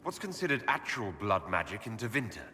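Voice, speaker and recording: A man playing a curious, polite elf hero in a video game, with a direct, inquisitive and measured manner. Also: fairly low pitch, very animated, bright, forceful tone. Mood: probing. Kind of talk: video game dialogue acting. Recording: reverberant hall, faint background noise.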